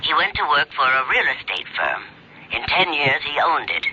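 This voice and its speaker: A man's voice: fairly high pitch, very animated, normal speed, pushed and bright, husky, full character voice. Wry newsman, dull radio sound. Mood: matter-of-fact. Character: wry, cagey newsman who knows local histories. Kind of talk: 1980s radio drama acting. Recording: dull radio broadcast recording.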